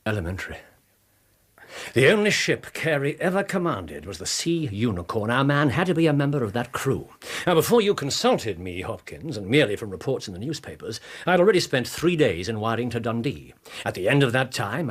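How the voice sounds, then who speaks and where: A man acting in a radio drama as a shrewd, self-assured Victorian detective, articulate and dryly witty, with a husky voice. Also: medium pitch.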